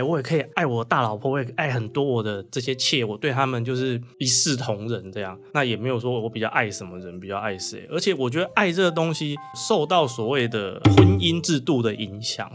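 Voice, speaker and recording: A man's voice: medium pitch, fairly bright tone. A man from southern Taiwan with a Taiwanese accent, talking reflectively as an interview guest. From Kaohsiung, Taiwan. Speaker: guest author.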